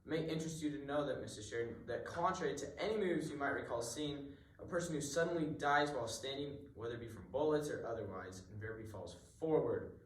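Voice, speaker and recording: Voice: mid-range voice, fast, natural voice. An amateur actor playing a courteous, methodical police inspector in a radio mystery. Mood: calmly accusing. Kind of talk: amateur radio drama acting. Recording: echoey room, distant mic, faint noise.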